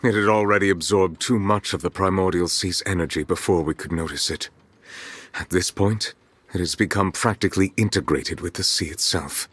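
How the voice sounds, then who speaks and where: An actor playing a grave, dignified man of authority who speaks formally and with measured composure in a video game cutscene. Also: low voice, a bit bright, slightly rough, dry recording. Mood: somber.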